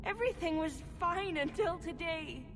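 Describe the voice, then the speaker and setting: A woman's voice: very high-pitched, animated, moderate pace. A woman playing a timid, naive young elven slave girl in a video game, meek, anxious and innocent. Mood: frightened, bewildered. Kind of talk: dramatic video game acting. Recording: reverberant hall, noisy game ambience.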